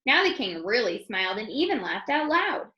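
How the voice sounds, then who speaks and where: A woman reading a children's story aloud as an expressive narrator. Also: on the high side, normal speed, muffled recording. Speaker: storybook reader. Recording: roomy, echoing room sound.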